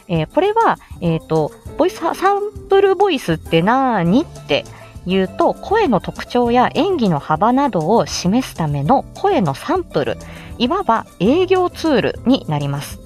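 Female presenter, fairly ordinary pitch, very lively intonation, chatty how-to explanations with music underneath. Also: a little fast. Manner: chatty, explanatory, greets listeners by name. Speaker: presenter teaching how to make voice samples; speech therapist and amateur voice actor. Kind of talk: informal instructional audio livestream.

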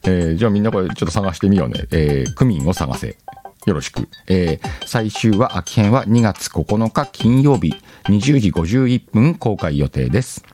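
Deep, animated man hosting a casual show. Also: moderate pace, faint music behind. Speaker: host. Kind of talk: casual chat and reading listener letters.

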